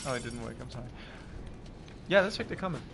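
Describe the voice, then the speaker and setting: A man's voice: mid-range voice, lively intonation, fast talker, creaky, a loud crowd. A man chatting casually while playing a video game, flustered and self-deprecating, swearing now and then. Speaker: student radio host playing a video game. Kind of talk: casual gameplay chat. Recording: loud game noise and gunfire behind.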